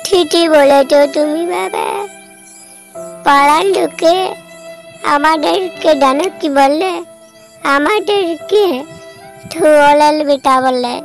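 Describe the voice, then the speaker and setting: A high voice, tagged as a woman's, playing a cheeky, dim young son with childish, garbled consonants in a comic cartoon. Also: takes it slowly, pauses often, soft background music. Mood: cheeky complaint.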